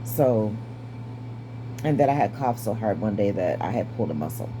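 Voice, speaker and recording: A woman in her fifties with a raspy, strained voice and short breath, giving a candid personal update. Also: rumbling low, animated, moderate pace, noisy background. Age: 50s. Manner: candid, wry, stays positive. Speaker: vlogger. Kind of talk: casual personal update to camera.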